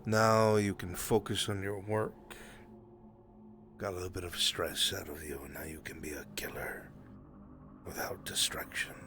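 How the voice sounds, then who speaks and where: A man playing a composed, teasing, calculating fantasy killer and lover in improvised online roleplay, with measured, precise wording. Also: deep voice, fairly even intonation, sharp tone, faint music behind.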